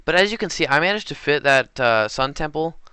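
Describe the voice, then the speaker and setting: A man's voice: fairly high pitch, expressive, a little fast, bright, forceful tone, smooth, no room sound. A man giving casual, hesitant commentary over recorded gameplay, often unsure of himself and correcting his own words. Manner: hesitant and unsure, many fillers and self-corrections.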